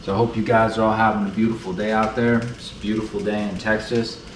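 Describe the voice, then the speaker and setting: A man from the United States hosting a relaxed, chatty cooking tutorial in his kitchen. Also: on the low side, fast, slight background noise. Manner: relaxed, chatty, fond of saying beautiful. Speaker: cooking channel host. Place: kitchen with food frying on the stove. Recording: roomy sound, mic set at a distance, sizzling pan.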